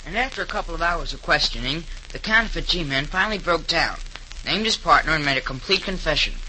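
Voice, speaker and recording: An earnest young store helper in a 1930s radio crime drama. His voice is fairly high and piercingly bright, with eager delivery and a slight hiss on the old recording. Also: animated, brisk, poor audio. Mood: earnest narrating. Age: young adult.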